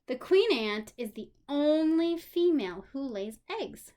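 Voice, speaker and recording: A woman teacher reading aloud to young children in an expressive manner, pausing for simple explanations and questions. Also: a little high. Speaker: special education teacher.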